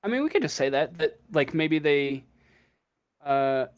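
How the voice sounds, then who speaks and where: A man speaking as game master in casual tabletop game talk, halting and searching for words as he goes. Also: fairly high pitch, sing-song, clean tone.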